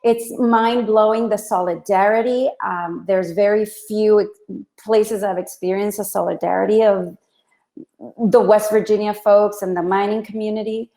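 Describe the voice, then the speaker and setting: A woman from Puerto Rico speaking as a guest on a live online panel, reflective and halting as she gives heartfelt remarks. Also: medium pitch, steady tone, mellow, echoey room. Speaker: film visual effects artist, panel guest.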